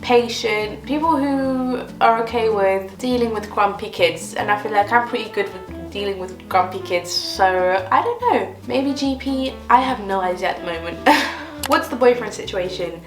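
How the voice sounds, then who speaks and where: A woman's voice: medium pitch, animated, normal speed, echoey room, music in the background. A woman in her twenties chatting casually and upbeat while answering viewer questions in her kitchen. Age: 20s. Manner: chatty and upbeat, with light laughs. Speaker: vlogger. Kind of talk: casual Q&A chat.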